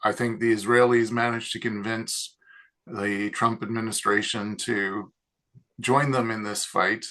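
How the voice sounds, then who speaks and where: A man's voice: fairly low pitch, steady tone, a little slow, sharp tone. A man hosting a panel discussion, talking casually and loosely with frequent fillers. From Canada. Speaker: host, mechanical engineer.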